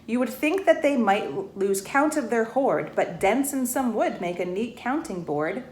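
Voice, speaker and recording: A woman with a fairly high voice reads a rhyming picture book to children. Her delivery is expressive, with asides and questions to the listeners. The sound is echoey and roomy, with slight noise behind her. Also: normal speed. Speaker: bank employee reading a storybook to children. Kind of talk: children's storybook read-aloud, rhymed verse with asides to listeners. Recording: roomy echo, faint background noise.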